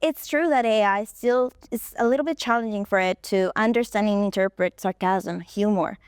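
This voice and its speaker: A woman presents at a conference, setting out her points in order. Her voice is smooth and clear and sits at a middle pitch. The sound is close and dry, with no room echo. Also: normal speed. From Colombia. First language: Spanish. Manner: explanatory, walking through points in order. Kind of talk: stage presentation.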